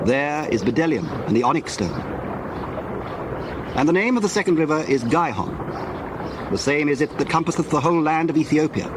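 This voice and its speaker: Male narrator, measured, solemn, scripture storytelling, mid-pitched, clear background noise bed.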